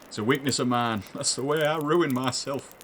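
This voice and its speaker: An actor playing a wheedling, miserly old rag-and-bone dealer in an audio drama. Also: male voice, medium pitch, moderate pace, fairly bright tone, slight background noise, natural voice. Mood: sly, haggling.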